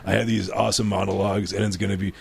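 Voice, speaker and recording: A low-pitched man with a gravelly, husky voice chats casually on a comedy podcast. He rambles, restarts and swears freely while talking up his upcoming work. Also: flat delivery, very fast, darkish tone. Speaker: comedian guest.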